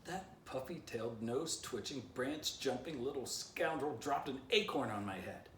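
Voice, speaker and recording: A man voicing an excitable male dog in a storybook, ranting melodramatically and indignantly. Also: mid-range voice, moderate pace, voice pushed up, close to an everyday voice. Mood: outraged. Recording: roomy echo, distant mic, faint noise.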